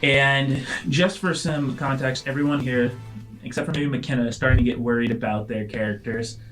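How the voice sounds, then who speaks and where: A man's voice: mid-range voice, steady tone, moderate pace, a bit bright, soft background music. A man, chatty and informal as he hosts and narrates a tabletop role-playing podcast. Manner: chatty, informal, addressing listeners directly. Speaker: host and game master. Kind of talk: casual podcast talk and tabletop game narration.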